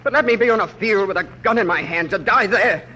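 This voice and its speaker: Desperate young soldier, high tense voice. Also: male voice, pushed and bright, slightly rough, full character voice. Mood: impassioned. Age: young adult. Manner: halting, earnest, impassioned. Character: sick, desperate young soldier pleading earnestly. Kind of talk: 1940s American radio drama acting. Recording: noisy vintage 1940s radio recording.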